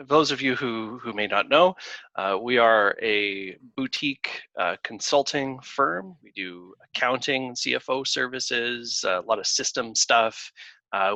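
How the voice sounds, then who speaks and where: A man hosting a casual webinar over a video call, talking in a halting way with many fillers and restarts. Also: mid-range voice, pushed and bright, dry recording. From Canada. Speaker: webinar host, consulting firm founder. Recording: dull lo-fi video call audio.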